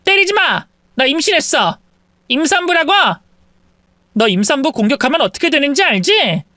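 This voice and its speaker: A woman's voice: very animated, moderate pace, dry recording, studio quality, exaggerated voice. A woman voicing a smug taunting mistress. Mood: shouting. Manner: taunting, shrill when shouting. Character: scheming young woman mistress, mocking and smug.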